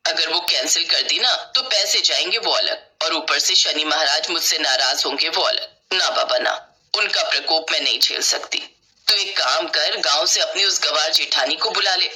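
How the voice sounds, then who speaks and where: A woman's voice: low-pitched, moderate pace, sharp tone, pitched below the performer's own voice. A woman voicing a superstitious older mother who complains bluntly in a family story. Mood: grumbling refusal. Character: grumbling, superstitious older mother with bad knees.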